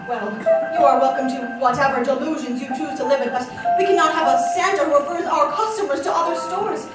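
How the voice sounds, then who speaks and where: A young woman acting as a businesslike 1940s department store manager in a radio-style play. Her voice is high and her manner formal and firm, heard from far off in a very echoey hall. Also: brisk, over loud music, natural voice. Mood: polite but firm. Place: stage in a large echoing hall.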